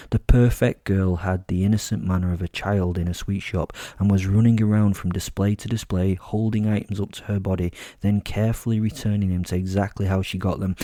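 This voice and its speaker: A man playing a suave, vain werewolf killer who narrates a horror audio drama in a measured, smug, darkly humorous way. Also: sits low, dark tone, no echo, clean studio sound, natural voice.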